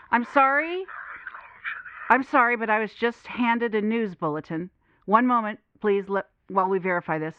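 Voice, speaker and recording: A woman playing a composed radio call-in host, measured and articulate, in an audio drama. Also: fairly high pitch, fairly bright tone, clean tone, dry recording. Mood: flustered. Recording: dry, dull lo-fi radio sound.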